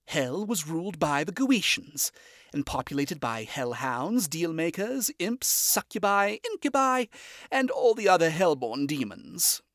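A man's voice: a little high, sing-song, a little slow, fairly bright tone, no room sound, studio quality, voice pushed up. A man voicing a fussy, refined male owl demon prince who speaks formally and properly, reading a fan story aloud. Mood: lecturing.

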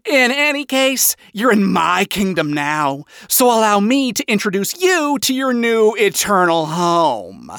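Casting: man playing smug male devil king, mocking, theatrical, high and swooping, audio story. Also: sing-song, unhurried, hardly any pauses, fairly bright tone, smooth, no room sound, studio quality, exaggerated voice.

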